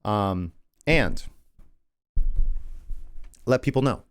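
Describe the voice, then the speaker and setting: A man's voice: lowish voice, lively intonation, drawn-out words, clean tone. A man hosting a crypto livestream, chatty and rambling as he talks through charts and thanks viewers, losing his voice. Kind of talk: casual market analysis and sign-off.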